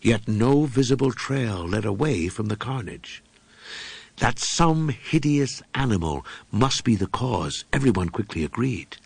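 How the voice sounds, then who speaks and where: A man reading literary horror as an audiobook narrator, with a formal, measured, dramatic storytelling delivery. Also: fairly low pitch, gravelly, no room sound.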